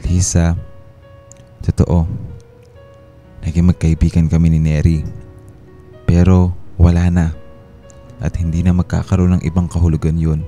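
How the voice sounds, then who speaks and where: A remorseful husband in a Filipino radio drama. His voice is low and extremely warm and dark, with a sincere, apologetic delivery. Music sits faintly behind him. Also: flat delivery, unhurried, pauses often. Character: remorseful, devoted husband and father pleading forgiveness.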